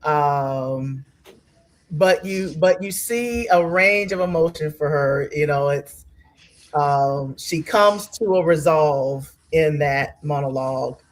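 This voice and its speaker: Slow, hesitant woman. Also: low-pitched. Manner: hesitant, searching for words. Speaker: actress, interview guest. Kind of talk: thoughtful interview answer.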